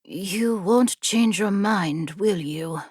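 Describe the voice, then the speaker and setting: A woman's voice: mid-range voice, very slow, darkish tone, breathy edge, no room sound, studio quality. A woman narrator voicing a loyal, pestering young apprentice horsemaster in an audiobook, exasperated and wry. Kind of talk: audiobook character dialogue.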